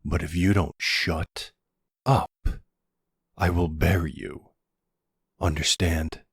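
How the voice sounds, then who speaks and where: A man playing a suave middle-aged mafia boss in a boyfriend audio roleplay, gravelly, measured and wry, commanding but capable of tenderness. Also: low voice, steady tone, no room sound, clean studio sound, exaggerated voice. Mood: scolding, threatening. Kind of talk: ASMR boyfriend roleplay acting.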